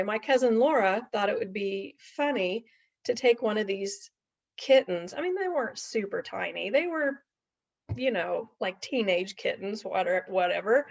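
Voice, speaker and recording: Gravelly middle-aged woman telling a folksy story. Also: medium pitch. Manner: folksy, chatty, with asides and tag questions. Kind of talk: casual anecdotal storytelling to an audience. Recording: dull lo-fi recording.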